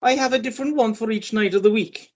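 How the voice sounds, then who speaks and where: A woman's voice: on the high side, quick pace, dull audio. A woman acting an ageing, boastful Irish male sporting champion in a radio play, chatty, pompous and persistent. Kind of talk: radio play monologue and dialogue.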